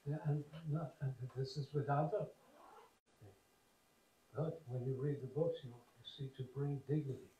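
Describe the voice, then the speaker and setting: A man giving a devotional talk, wry and digressive, with halting fillers. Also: mid-range voice, fairly even intonation, warm tone, lo-fi sound. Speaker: senior monk speaker. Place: temple hall. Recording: roomy hall, voice from far off, faint noise.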